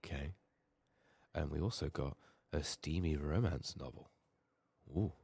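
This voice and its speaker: A soft-spoken man giving unhurried ASMR game commentary, musing to himself and sometimes slipping into a whisper. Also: extremely low pitch, gravelly, slightly rough, dry recording. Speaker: gaming streamer, ASMR host.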